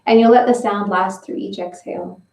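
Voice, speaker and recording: Gentle woman guiding breathing, roomy sound. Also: mid-range voice, steady tone, recorded from a distance. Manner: slow, gentle step-by-step instructions. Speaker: breathing and movement instructor. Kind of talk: guided breathing and stretching session. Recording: roomy, from away from the mic.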